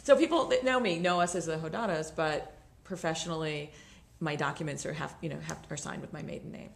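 Low gravelly woman in an echoey room. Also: fairly quick. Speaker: guest mom. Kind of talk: casual chat.

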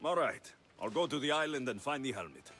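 An actor playing a wry, confident young Greek mercenary hero in a video game, with a dry, teasing delivery. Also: male voice, mid-range voice, sing-song, fairly quick, a bit bright. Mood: wry, sceptical. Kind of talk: video game cutscene dialogue.

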